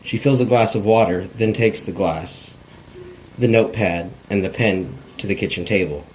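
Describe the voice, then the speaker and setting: A man with a fairly low voice narrates a script's stage directions at a table read. It is an amateur recording with faint noise behind him. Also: moderate pace, rough recording. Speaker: screenwriter reading stage directions.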